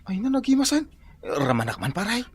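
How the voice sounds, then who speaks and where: A man's voice: sits high, huge pitch swings, normal speed, slightly rough, full character voice. A man with an Ilocano accent playing a whiny, henpecked working husband in a comedy radio drama, exclaiming plaintively. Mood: grumbling. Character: whiny henpecked husband, construction worker, comic complainer.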